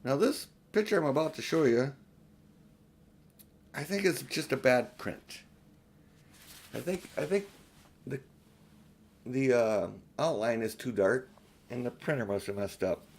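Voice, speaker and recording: An older man reads a storybook aloud in a middle-pitched voice. His delivery is homely and unpolished, an amateur storyteller at ease with the page. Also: animated, a little slow, pauses often, a little mellow, slight background noise. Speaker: audiobook reader. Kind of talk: storybook reading with informal asides.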